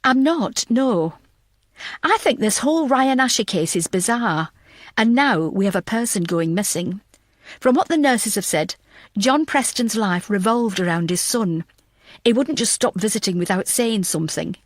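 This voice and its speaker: A woman's voice: medium pitch, expressive, moderate pace, dry recording, lightly acted. A woman voicing a keen, stern young female detective constable who reports earnestly, in an audiobook. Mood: stern, unconvinced.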